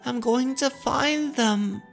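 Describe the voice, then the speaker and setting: Man voicing a frightened little boy, very high-pitched. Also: slow pace, vocal fry, soft background music, full character voice. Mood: scared, plaintive. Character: frightened lost little boy seeking his parents. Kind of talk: horror manga dub.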